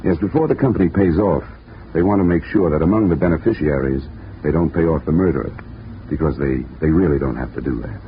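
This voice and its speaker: A dry, wisecracking investigator. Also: male voice, very deep voice, fast, slight background noise. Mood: wry. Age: young adult. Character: wisecracking young male insurance investigator. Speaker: insurance investigator and narrator. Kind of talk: 1940s radio acting. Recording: dull old radio broadcast with music.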